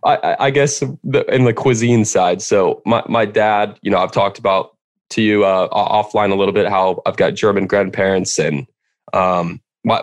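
Podcast host: American man, lowish pitch, chatty and informal interviewing. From United States. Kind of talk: casual interview chat.

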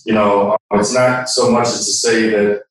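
A man giving an informal technical explanation as a product expert, halting as he searches for words. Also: lowish voice, flat delivery. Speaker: product expert guest demonstrating. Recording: echoey room, distant from mic.

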